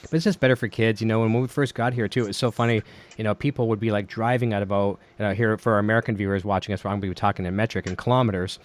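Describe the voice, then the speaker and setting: A man's voice: fairly low pitch, steady tone, racing. A middle-aged Canadian man hosting a casual podcast interview, chatty and easy-laughing, telling anecdotes. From Ontario, Canada. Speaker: podcast host.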